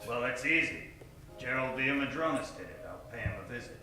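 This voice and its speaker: A man's voice: medium pitch, lively intonation, fast talker, lightly acted. A man acting a brash, wisecracking hardboiled private detective in a noir radio parody. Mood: flirtatious, confident. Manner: brash, swaggering. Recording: echoey, distant live stage with music. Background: music.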